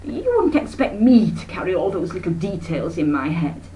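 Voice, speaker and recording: A woman's voice: fairly low pitch, very animated, moderate pace, mellow, distant mic. A woman voicing a breezy, self-important middle-aged aunt in a story reading, gushing and pompous. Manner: gushing, pompous, talks in long runs.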